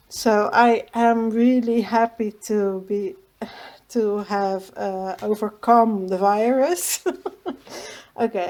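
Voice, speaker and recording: Slow, mellow Dutch-accented woman. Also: medium pitch. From Netherlands. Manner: hesitant, many pauses and fillers, quick small laughs. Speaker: craft tutorial host. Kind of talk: casual crafting tutorial.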